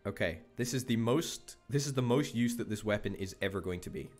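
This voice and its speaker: A man's voice: on the low side, lively intonation, a little fast, darkish tone, soft background music. A man hosting a gaming video, chatting casually with wry, self-deprecating remarks. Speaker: gaming channel host. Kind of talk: casual let's-play commentary.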